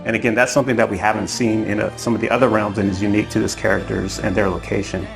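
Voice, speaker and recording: A man's voice: fairly low pitch, flat delivery, very fast, vocal fry, over music. A man speaking as a lead concept artist in a documentary-style interview.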